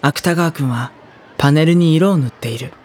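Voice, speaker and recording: A performer playing a gentle, hesitant high-school boy who narrates his own story in a Japanese audio drama, with a husky, breathy voice. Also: normal speed, heavy background noise, close to an everyday voice. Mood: reflective, narrating.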